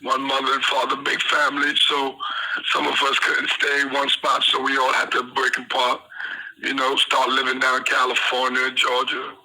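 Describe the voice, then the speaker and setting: A man from Trinidad talks over a phone call, rambling through his story in loose street slang. His voice sits at an ordinary pitch. The line carries clear background noise. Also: monotone, moderate pace, pushed and bright. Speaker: incarcerated guest on the phone.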